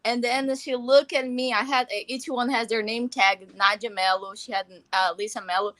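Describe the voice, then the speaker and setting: A Brazilian woman with a bright, fairly high voice tells a story as a livestream guest, speaking with a Brazilian Portuguese accent. Her manner is lively and full of laughter. The sound is dry and close to the mic. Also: moderate pace, no echo. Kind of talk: casual livestream chat. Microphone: video call.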